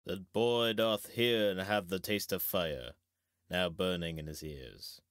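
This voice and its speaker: A man playing a wise, grave old knight and mentor in a measured, theatrical Shakespearean reading. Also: sits low, a bit bright, no room sound, studio quality. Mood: thoughtful.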